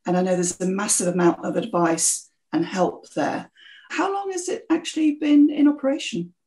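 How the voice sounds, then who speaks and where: A woman hosts an interview podcast, her voice sitting at an everyday middle pitch. She opens the show and asks her guest questions in a friendly, encouraging way. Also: very animated, moderate pace, warm tone. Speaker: podcast host.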